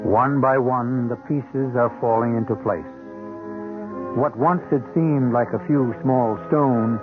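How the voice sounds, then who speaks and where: A man narrating a radio drama as its host, in a measured, solemn, scripted style. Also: medium pitch. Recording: muffled band-limited radio recording. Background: music.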